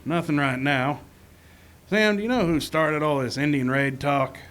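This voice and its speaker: An actor playing a level-headed, skeptical frontier marshal in a live old time radio western. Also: male voice, fairly high pitch, unhurried, fairly bright tone. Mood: wry, businesslike. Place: live show in a park. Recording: live outdoor stage, noisy background.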